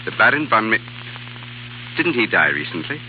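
An actor playing a shrewd, genteel art dealer in a 1930s radio drama, bargaining coolly and politely. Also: male voice, fairly low pitch, sing-song, moderate pace. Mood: covetous. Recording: dull 1930s radio with hiss.

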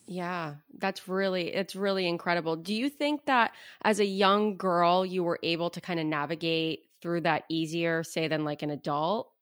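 A woman host with a lowish voice, recorded close and dry. She chats casually and loosely, asking long, winding questions and reacting often. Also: steady tone, normal speed, few pauses, dry recording. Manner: warm interjections, rambling follow-up questions. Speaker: podcast host. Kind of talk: casual interview chat.

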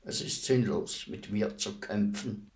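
An actor playing a calm, implacable executioner in a radio play, speaking in a measured, politely formal, unperturbed manner. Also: male voice, on the low side. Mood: calm, grave. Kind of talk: theatrical radio play acting.

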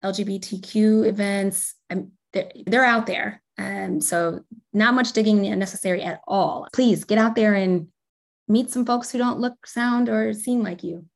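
A woman's voice: medium pitch, a little slow, vocal fry. A woman speaking casually as a podcast guest, earnest and encouraging in her answers. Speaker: podcast guest, corporate strategy director.

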